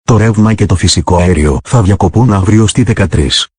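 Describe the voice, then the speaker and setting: A man's synthetic voice, low and husky, delivers a formal scripted emergency bulletin. He sounds like a government announcer, recorded dry with no room sound. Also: fast, darkish tone, no room sound.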